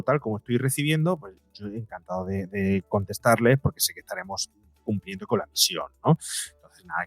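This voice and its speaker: A man speaking as a psychologist guest on a podcast, explaining things conversationally in a wordy, halting way with frequent tag questions. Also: medium pitch, vocal fry, no echo.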